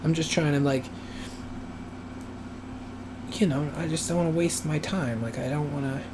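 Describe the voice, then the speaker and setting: A man live-streaming as he animates, thinking aloud in a hesitant, rambling way, his voice gone raspy and dry from talking. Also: on the high side, fairly even intonation. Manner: musing, hesitant, thinks aloud with many pauses. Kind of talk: rambling casual live-stream talk while working. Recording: noisy room hum behind voice.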